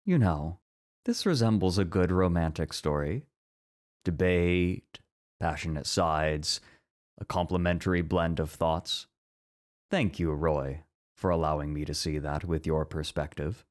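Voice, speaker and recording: Low-voiced, thoughtful male academic played by an actor, composed and formal, for ASMR roleplay. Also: unhurried, darkish tone. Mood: sincere. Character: composed, introspective, scholarly male college teacher.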